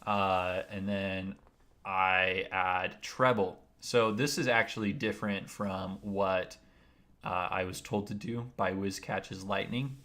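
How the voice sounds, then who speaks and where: Deep voiced guy rambling on a stream, explaining his process bit by bit. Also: steady tone, takes it slowly, bright, forceful tone. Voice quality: naturally deep voice. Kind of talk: casual livestream tutorial.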